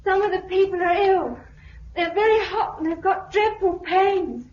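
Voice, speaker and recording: A frightened, pleading girl in a fifties British radio play. Her voice is extremely high and plaintive, heard through echo on a muffled old recording. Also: unhurried, a little mellow, slight background noise. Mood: anxious, pleading. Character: timid, anxious blind girl seeking help. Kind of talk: 1950s British radio drama acting.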